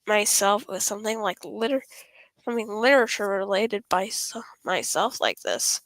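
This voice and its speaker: A woman's voice: mid-range voice, lively intonation, moderate pace, fairly bright tone, dry recording. A woman streamer reading visual novel dialogue and narration aloud expressively, stumbling over some phrases.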